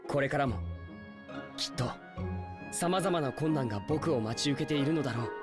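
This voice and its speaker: Man doing young male lawyer, earnest, reflective, fast talker, game voice, loud music behind. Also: medium pitch, lightly acted. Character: young male defense lawyer narrating his journey. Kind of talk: game voice acting.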